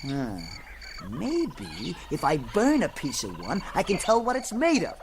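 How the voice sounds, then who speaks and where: An actor playing a gruff, scrappy, ape-like chemist adventurer in a radio drama, with folksy, slangy, excitable speech. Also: male voice, on the high side, unhurried, background noise, heavily acted. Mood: puzzled, musing. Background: jungle night insect ambience.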